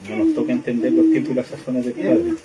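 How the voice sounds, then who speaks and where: A man's voice: mid-range voice, huge pitch swings, quick pace, mellow, dull audio. A man in a conversational Bible discussion, speaking tentatively and qualifying his own statements. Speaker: discussion participant. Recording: distant mic, people clearly heard around.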